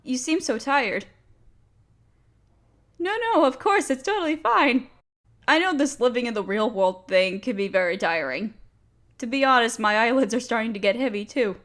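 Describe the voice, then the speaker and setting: A fairly high-pitched young woman, played by a female voice actor speaks close and intimately, as in a romantic audio drama. She is nerdy and smitten with her friend. Her delivery is nervous and hesitant, with stammers and sighs. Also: moderate pace, long pauses, a bit bright, natural voice. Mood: tender, soothing. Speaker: audio roleplay performer. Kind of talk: intimate romantic roleplay acting.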